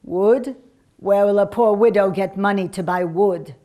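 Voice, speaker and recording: A woman's voice: mid-range voice, a little slow, warm tone, close to an everyday voice. A woman voicing a poor, sick old widow in a told folk tale, wary and plaintive, asking helpless questions.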